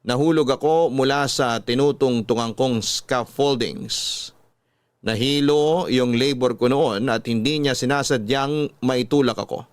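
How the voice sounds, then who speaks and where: A man's voice: medium pitch, no room sound, close to an everyday voice. A Filipino man playing a regretful working-class father, telling his story in an earnest, confessional radio-drama narration.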